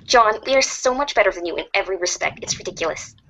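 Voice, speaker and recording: A woman's voice: medium pitch, animated, quick pace, a bit bright. A woman voicing a bossy, needling blind troll girl in an amateur reader's theater. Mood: smug, bossy. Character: teasing, bossy blind troll girl, a leet-speaking online schemer. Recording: muffled lo-fi, noisy home recording.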